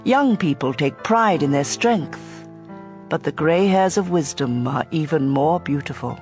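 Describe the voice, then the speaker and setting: Slow-paced narrator, medium pitch, measured scripture reading, clear instrumental music behind. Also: lively intonation, a little mellow. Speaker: audio Bible reader. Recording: music bed under voice.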